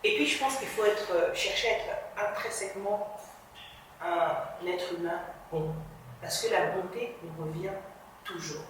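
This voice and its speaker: Female journalist guest, earnest and reflective, phrases fade softly at the end, very echoey room, mic set back from her. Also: fairly low pitch, measured pace, a little hiss or hum. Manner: earnest, reflective, drops to a soft level at phrase ends. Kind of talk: motivational interview talk. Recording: reverberant room, distant mic.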